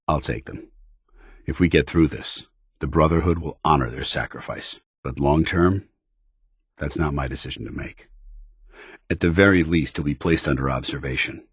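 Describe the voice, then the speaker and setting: An actor playing a stern, earnest, dutiful male soldier in a video game, speaking with formal, measured military diction. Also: a bass voice, breathy edge, no echo, studio quality. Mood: dutiful, helpful. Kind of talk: video game voice acting, companion dialogue.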